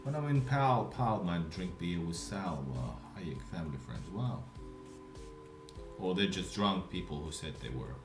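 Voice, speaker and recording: A man with a Russian accent, live streaming and chatting casually with viewers, speaking in a deliberately lazy, relaxed way. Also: deep voice, echoey room, music in the background. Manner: intentionally lazy, relaxed way of talking.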